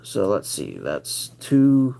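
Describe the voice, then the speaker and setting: A man's voice: deep voice, wildly swooping pitch, slow pace, creaky, dark tone. A man hosting a casual livestream, thinking aloud as he picks cards. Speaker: livestream host.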